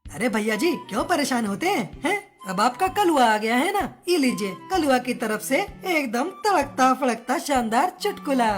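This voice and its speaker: A small male cartoon crow, acted by a woman, speaks in a high voice. He is a chatty, theatrical joker with a wounded, vengeful streak, and light music plays underneath. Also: sing-song, moderate pace. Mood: cheerful, teasing. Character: short joke-telling crow, male, hurt and vengeful. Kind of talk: Hindi cartoon story dialogue.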